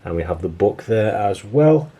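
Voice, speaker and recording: A man with a very low, hoarse voice that sounds worn out, as if he is losing it. He talks about his collection in a loose, rambling way and often restarts his sentences, like a casual vlogger showing off his shelves. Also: animated, normal speed, mellow, a little hiss or hum. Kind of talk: casual show-and-tell of a collection.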